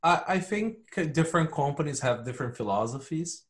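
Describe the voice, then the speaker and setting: A man's voice: fairly high pitch, lively intonation, fairly quick. Matter-of-fact man explaining in an interview. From Brazil. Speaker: podcast guest, hardware company founder.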